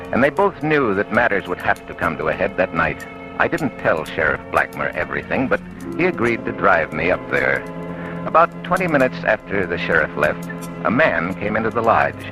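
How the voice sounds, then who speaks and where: An actor playing a calm, shrewd blind police captain who questions suspects and narrates in a measured, dry way, in 1940s radio acting style. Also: male voice, low voice, poor audio. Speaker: detective narrator.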